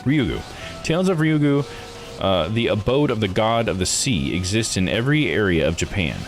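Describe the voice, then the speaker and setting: A man's voice: lowish voice, very animated, normal speed. A man hosting a game playthrough, reading text aloud and musing to himself in casual live commentary. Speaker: host of a visual novel live playthrough. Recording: music behind the voice.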